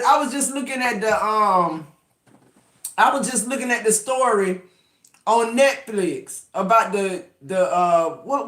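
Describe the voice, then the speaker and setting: A man's voice: extremely high pitch, fairly bright tone. A man in his fifties giving a casual tarot reading, talking emphatically with profanity and rambling restarts. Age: 50s. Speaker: tarot reader. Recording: echoey room.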